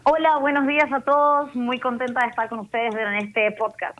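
Chilean woman founder on a muffled remote line, higher voice, lively storyteller. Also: normal speed. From northern Chile. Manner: animated storytelling, mixes in English terms. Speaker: startup founder guest. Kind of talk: remote podcast interview.

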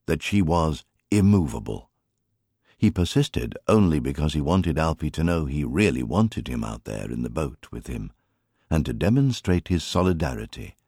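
A man narrating an audiobook as scripted literary fiction, in an expressive storytelling manner. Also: a bass voice, breathy edge, no echo, studio quality.

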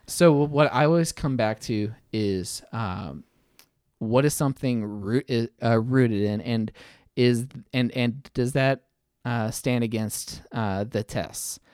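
A man giving thoughtful interview answers, halting often and repeating his words as he goes. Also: medium pitch, darkish tone, no echo, clean studio sound. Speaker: interview guest, attorney.

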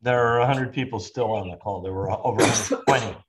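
An older man moderating a webinar discussion, speaking in a measured way with frequent ums. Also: lowish voice, background noise.

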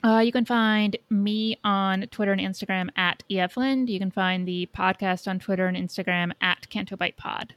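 A woman's voice: medium pitch, fairly even intonation, moderate pace, hardly any pauses, a bit bright, no echo. A woman co-hosting a podcast in casual, conversational chat. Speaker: podcast co-host.

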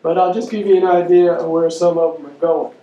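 A man giving an unscripted public lecture, pausing often with fillers and restarting his sentences. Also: fairly high pitch, steady tone, warm tone. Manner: halting, many fillers and restarts. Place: lecture room. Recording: dull, roomy, distant mic with faint noise.

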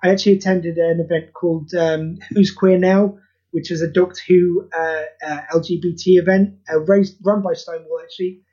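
A man's voice: sits high, fairly even intonation, moderate pace, mellow. A man speaking as a podcast interview guest in an earnest, rambling, informal way, over a dull lo-fi recording. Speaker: interview guest, creator of charity audio plays. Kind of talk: casual podcast interview chat.

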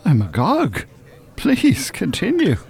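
A man's voice: high voice, wildly swooping pitch, slow pace, creaky, a little mellow, husky, exaggerated voice. An actor playing a sardonic, well-spoken older Dublin man, wordy and mock-formal in a radio drama.

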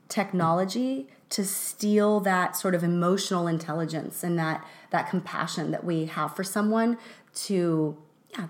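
A middle-aged woman co-hosting a podcast, talking casually with many restarts and fillers. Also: lowish voice. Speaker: podcast co-host.

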